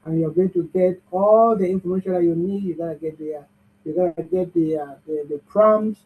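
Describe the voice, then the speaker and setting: Upbeat high-voiced man hosting a webinar. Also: a little fast. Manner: upbeat, cheerleading the audience. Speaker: bootcamp host. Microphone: video call. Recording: muffled video-call audio.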